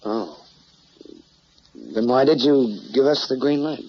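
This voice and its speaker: An actor playing a chivalrous, plain-spoken newspaper reporter in an old crime drama, polite, hesitant and protective. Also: male voice, medium pitch, big pitch swings, takes it slowly, breathy edge, heavily acted. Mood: courteous. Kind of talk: mid-century American crime drama acting. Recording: muffled, noisy old drama soundtrack.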